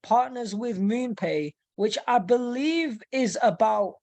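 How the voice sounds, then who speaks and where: A woman hosting a casual webinar, reading through a quick news roundup and often repeating words while she thinks. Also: a little high, expressive, dark tone, dry recording. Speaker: host. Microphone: video call mic.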